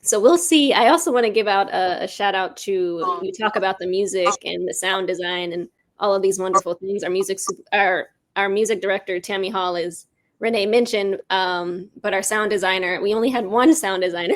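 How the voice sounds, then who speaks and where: A woman giving reflective, conversational answers as an interview guest, with hesitant, measured phrasing. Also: medium pitch. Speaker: writer-director, interview guest.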